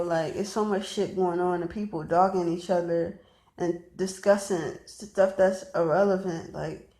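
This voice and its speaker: A woman's voice: fairly low pitch, darkish tone. A woman in her twenties talking straight to camera in a casual vlog, blunt and profane, rambling with lots of fillers and emphatic repeats. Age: 20s. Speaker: vlogger and aspiring rapper.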